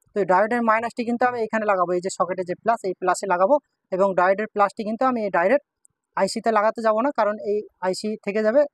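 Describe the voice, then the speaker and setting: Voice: medium pitch, no room sound. A person giving a step-by-step hands-on repair demonstration, repeatedly pointing things out to viewers. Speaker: electronics repair tutorial presenter.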